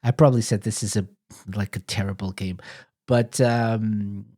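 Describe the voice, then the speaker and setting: A man's voice: fairly low pitch, fairly even intonation, moderate pace, warm tone. A man from Portugal hosting a podcast, telling rambling stories in casual chat and correcting himself as he goes. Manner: rambling, self-correcting storyteller. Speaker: podcast host.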